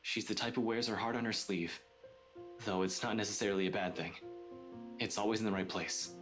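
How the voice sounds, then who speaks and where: A man's voice: fairly low pitch, steady tone, fairly quick, lightly acted. A man playing an awkward, earnest young man in a visual novel game, sincere and a little hesitant. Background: guitar music.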